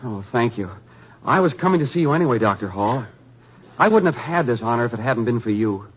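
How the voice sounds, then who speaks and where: A stammering, earnest young author. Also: male voice, medium pitch, lively intonation, fairly quick, breathy edge. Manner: earnest, stammering with nervous eagerness. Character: young male author, eager, earnest and humble. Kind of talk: 1950s radio drama acting. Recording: dull lo-fi old radio broadcast.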